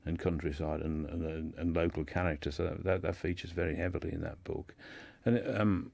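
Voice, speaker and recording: A man with a deep voice talks as a guest in a radio discussion. He is hesitant, often doubling back on words as he explains. The sound is very dry, with little room around him. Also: level tone, gravelly, darkish tone, dry recording. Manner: hesitant, halting, repeats words. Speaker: guest discussing a writer's life and books.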